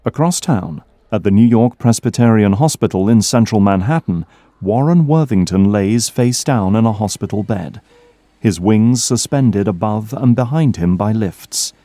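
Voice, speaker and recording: Low, mellow male drama narrator. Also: lively intonation, measured pace, no room sound. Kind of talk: scripted audio drama narration.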